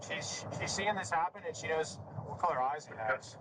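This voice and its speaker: A man's voice: a little high, animated, normal speed. A male police deputy talking casually on the job. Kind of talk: casual spoken talk on the job. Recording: loud vehicle noise, body camera audio.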